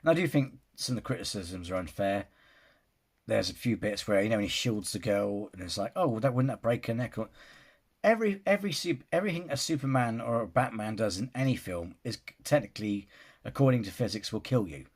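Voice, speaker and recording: Guy, husky voice, rambling film-reaction vlogger, casual. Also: on the low side, expressive, fairly quick. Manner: rambling, restarts sentences, often says "you know". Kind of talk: casual opinion vlog.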